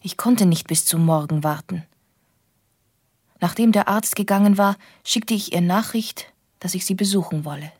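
A young woman playing an earnest, sensitive young lady in a German gothic radio drama, with a breathy voice. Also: on the low side, normal speed, darkish tone, no room sound, studio quality, lightly acted. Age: young adult.

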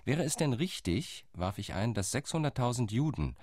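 A man's voice: mid-range voice, sing-song, moderate pace, darkish tone, dry recording, clean studio sound. An actor playing an older Zionist negotiator, narrating his memories in a wry, reflective way. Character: Zionist negotiator, older man, dry and ironic. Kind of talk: radio drama memoir narration.

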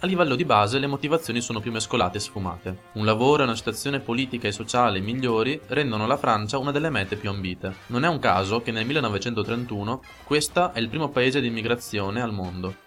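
A man's voice: medium pitch, fast talker, few pauses, fairly bright tone. A man co-hosting a radio program, reading scripted historical narration in a formal, informative way. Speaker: radio host. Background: music under the voice.

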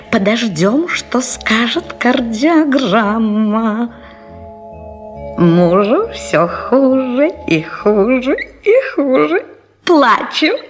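An actress playing a greedy young Greek bride of a rich old chieftain, theatrical and mock-tragic in a comic radio diary monologue. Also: female voice, high-pitched, heavily acted. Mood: gleeful, fake grief. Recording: 1970s Soviet radio recording with music.

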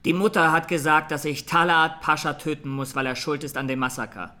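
An actor playing a young Armenian defendant, speaking simply and earnestly, without remorse, in a radio courtroom drama. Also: male voice, fairly high pitch, steady tone, a little fast, pushed and bright. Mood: calm, unrepentant. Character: young Armenian male defendant, sincere, quietly unrepentant.

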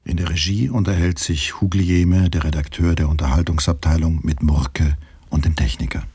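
A man's voice: very deep voice, fairly even intonation, moderate pace, mellow, slightly rough, no room sound, clean studio sound. A man narrating a literary radio play from a script.

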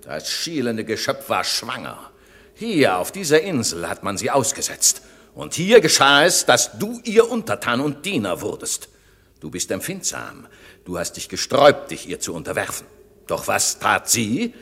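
An actor playing a stern, commanding magician master in a classic German radio drama, speaking verse in a solemn, imperious, deliberate manner. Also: male voice, mid-range voice, huge pitch swings, fairly bright tone. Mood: stern, reproachful.

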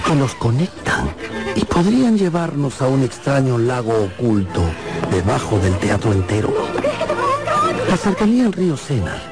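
Male radio-drama narrator, swooping mid-range delivery, theatrical drawn-out emphasis, loud music bed. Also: medium pitch, big pitch swings.

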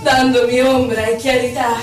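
A woman recites poetry theatrically, in a solemn, measured, declamatory way. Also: on the high side, little pitch movement. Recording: echoey, distant stage recording with music. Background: prominent violin music.

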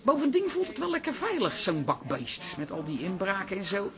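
A woman's voice: mid-range voice, wildly swooping pitch, fairly quick, crowd noise. A middle-aged neighbourhood auntie in a radio play. She is chatty and plain-spoken, airing her complaints with tired good sense. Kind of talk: Dutch radio drama acting.

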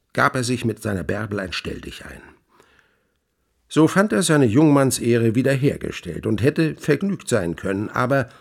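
A man playing a grandiloquent scholar in a radio play, recounting witchcraft lore with relish in declamatory, archaic phrasing and emphatic pauses. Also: medium pitch, creaky, breathy edge, full character voice. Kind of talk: theatrical literary storytelling in a radio play.

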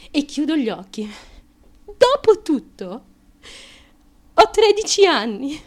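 An actress playing a troubled young woman in an intimate radio theatre monologue, confessional and crude, halting and nervous, with abrupt emotional swings. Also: sits high. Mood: anguished.

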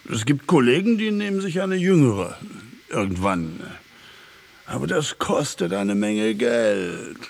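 An actor playing a world-weary, venal prison guard in a German radio drama, with a dry, blunt, musing way of talking. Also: male voice, fairly low pitch, gravelly, heavily acted.

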